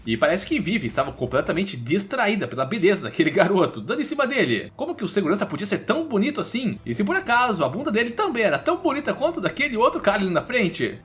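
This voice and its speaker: High-pitched, very animated Brazilian male narrator. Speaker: recap narrator. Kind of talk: scripted story recap narration in casual spoken language. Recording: music bed under voice. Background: background music.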